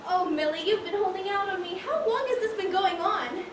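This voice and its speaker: A woman's voice: very high-pitched, a little fast. A woman acting a nosy, teasing society customer in an amateur stage comedy, with an arch, exclamatory manner. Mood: delighted, teasing. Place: school theatre stage, distant microphone. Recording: echoey stage from far off, noisy.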